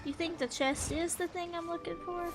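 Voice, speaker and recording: Female gaming streamer: high, very lively voice, chatty and playful, loud game music behind. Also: moderate pace. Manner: chatty, playful self-talk. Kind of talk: casual gameplay commentary. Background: loud video game music.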